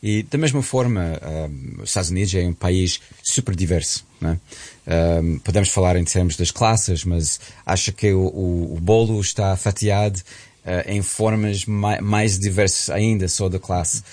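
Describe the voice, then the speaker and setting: Man, middle aged, really low voice, dry studio sound, stumbles and repeats himself giving opinions. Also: darkish tone, dry recording. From United States. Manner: halting, with repeated words. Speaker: guest commentator on a radio programme. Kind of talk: conversational opinion in a radio discussion.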